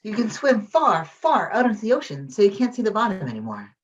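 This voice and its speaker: A woman reads a breezy, wisecracking stage-comedy character. The pitch moves around a lot. The sound is slightly distant and muffled. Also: huge pitch swings, brisk, husky. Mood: casual. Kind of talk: musical script table read.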